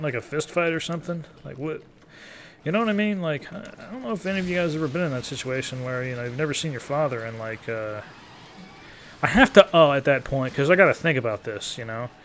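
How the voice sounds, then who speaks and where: A man in his early thirties giving casual, rambling gameplay commentary in an informal, halting, profane, self-deprecating way. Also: a little high, a bit bright, a little hiss or hum. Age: early 30s. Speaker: gaming video creator.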